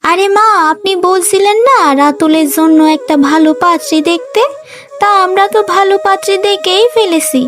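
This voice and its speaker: Very high-pitched scheming cartoon daughter-in-law, voiced by a woman. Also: moderate pace. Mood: coaxing, scheming. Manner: pushy, emphatic. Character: scheming, scornful young married daughter-in-law. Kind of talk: cartoon family drama dialogue.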